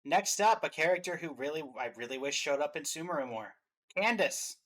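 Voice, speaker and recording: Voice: medium pitch, lively intonation, a little fast, a bit bright. A host giving casual, opinionated fan commentary in a reaction show.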